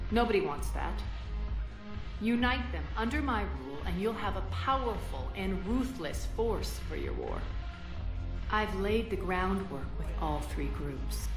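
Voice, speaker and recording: A woman playing a cold, scheming crime boss in a video game, speaking with deliberate, commanding disdain. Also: fairly low pitch. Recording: roomy sound with music behind.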